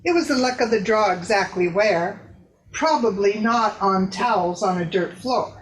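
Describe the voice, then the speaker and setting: A woman reading a literary piece deliberately, pausing between phrases. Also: medium pitch, rough recording. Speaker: literary reader. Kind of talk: staged reading of a personal essay.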